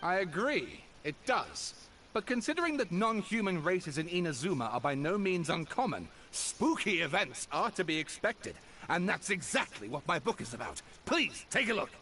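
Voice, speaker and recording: An actor voicing a patient but firm scholarly male author in a video game, explaining things in articulate, measured phrases. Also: high-pitched, very animated, sharp tone, slight background noise, heavily acted. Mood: matter-of-fact.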